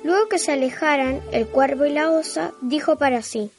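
A woman's voice: sits high, moderate pace, soft background music. A woman narrating a scripted children's story.

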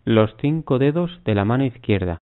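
A man guiding a mindfulness body scan, giving unhurried instructions in short phrases with long pauses. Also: mid-range voice, expressive. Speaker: meditation guide. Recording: muffled, phone-like narrow band.

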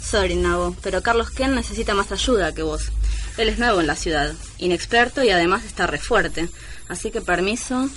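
A woman's voice: medium pitch, expressive, moderate pace, a bit bright, close to an everyday voice. Woman playing a brusque, scornful Argentine reporter. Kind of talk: comic radio serial acting. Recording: noisy 1990s FM radio recording.

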